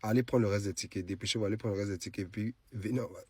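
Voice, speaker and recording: Husky low-voiced Ivorian man. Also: racing. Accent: Ivorian French. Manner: animated, repeats phrases for emphasis. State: has a cold. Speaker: social media live streamer. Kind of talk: casual late-night live chat to followers.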